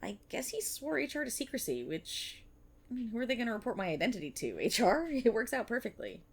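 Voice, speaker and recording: A woman playing an excitable, earnest young banshee idol-in-training, chatty and teasing, in an ASMR-style roleplay aimed at the listener. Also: a little high, a little fast, vocal fry, slightly rough, heavily acted. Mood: earnest, hopeful.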